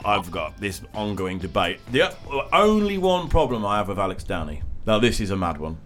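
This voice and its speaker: A man's voice: lowish voice, big pitch swings, normal speed, fairly bright tone. A middle-aged man from the north of England, co-hosting a radio show in a studio and telling rambling, jokey stories in casual banter. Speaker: radio co-host, station boss. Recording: music playing behind.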